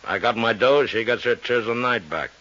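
An actor playing a shifty, world-weary gambling boss and former bootlegger in a 1950s radio drama, gruff, blunt and slangy, with an American accent. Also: male voice, mid-range voice, normal speed, a bit bright, lightly acted. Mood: shifty, confiding. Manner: blunt, slangy tough-guy talk. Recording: dull old radio recording.

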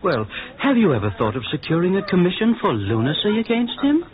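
A man playing an eager, courteous, gullible young gentleman in love, in a 1940s radio drama. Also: sits high, huge pitch swings, a little fast, slight background noise. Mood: smitten, concerned. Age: young adult. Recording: muffled, narrow-band old radio recording.

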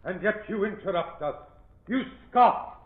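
An actor playing an indignant, pompous spiritualist medium in an old radio drama, speaking formally and accusingly. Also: male voice, high-pitched, expressive, measured pace. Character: indignant male spiritualist medium, commanding and theatrical. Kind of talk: 1940s radio drama acting. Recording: muffled, echoey old radio recording.

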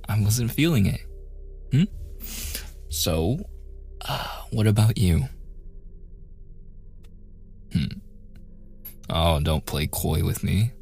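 A young man acting a playful, teasing younger brother in a soft, intimate boyfriend roleplay with casual, hesitant phrasing. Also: sits low, gravelly, slightly rough, exaggerated voice. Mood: sheepish, defensive.

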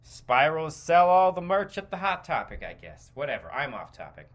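Animated, sarcastic man ranting. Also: on the high side, moderate pace. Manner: exasperated, sarcastic, swears casually. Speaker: podcast host. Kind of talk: stream-of-consciousness opinion rant.

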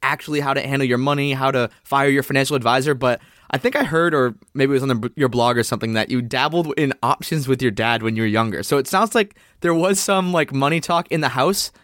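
Fast-talking, slightly hoarse male co-host. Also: medium pitch, fairly bright tone. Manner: upbeat, chatty. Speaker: podcast co-host. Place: friend's apartment.

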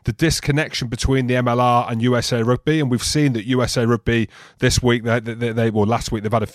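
A man's voice: mid-range voice, flat delivery, fast, dry recording, clean studio sound. A man co-hosting a podcast, asking questions in a chatty, joking manner. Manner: chatty, jokey. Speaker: podcast co-host. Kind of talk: casual podcast chat.

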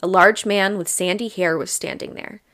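A woman's voice: mid-range voice, steady tone, normal speed, a bit bright. A woman co-hosting a podcast, telling a true crime case in a chatty way and laughing often. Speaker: podcast co-host. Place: Ohio.

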